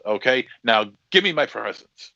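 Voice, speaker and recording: A man close to fifty speaks with a lively, bright, emphatic voice on a casual podcast. His pitch bounces around as he protests and makes his point. The recording is dry and slightly muffled. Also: moderate pace, gravelly, no echo. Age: 40s. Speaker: podcast co-host. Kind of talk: casual chat.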